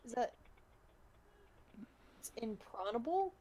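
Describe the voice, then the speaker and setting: A woman's voice: on the high side, sing-song, slow, crowd noise. A woman chatting casually on a livestream.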